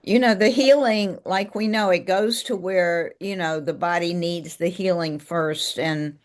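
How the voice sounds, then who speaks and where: Woman hosting a webinar, lower voice, encouraging, says you know a lot. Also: unhurried. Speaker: webinar host. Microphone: video call.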